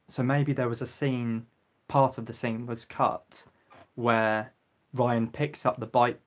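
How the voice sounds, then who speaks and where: A young man chats casually on a live stream, reading comments aloud and answering each one. His voice sits at an ordinary pitch, and he keeps filling pauses with ums. His throat is getting sore, and now and then he clears it. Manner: chatty, frequent ums, clears throat and coughs.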